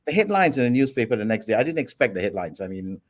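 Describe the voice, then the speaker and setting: A man in his forties from Singapore, a guest on an interview show, telling his story in a relaxed, steady way with many ums. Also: medium pitch, quick pace. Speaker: guest entrepreneur.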